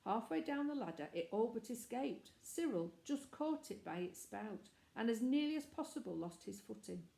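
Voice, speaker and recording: A woman's voice: fairly high pitch, lively intonation, normal speed, vocal fry, hardly any pauses, warm tone. A woman reading a children's story aloud as scripted narration. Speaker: storybook reader. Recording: echoey, reverberant room.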